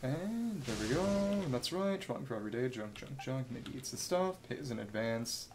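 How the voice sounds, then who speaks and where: A man's voice: mid-range voice, animated, moderate pace, noisy background. A man giving casual live commentary as a video game streamer, rambling and thinking aloud as he plays. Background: video game music.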